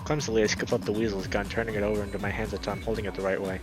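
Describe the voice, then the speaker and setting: A man streaming a let's play, reading a visual novel aloud in a dramatic, excitable way, sometimes stumbling over words. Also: fairly low pitch, very fast. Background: background music.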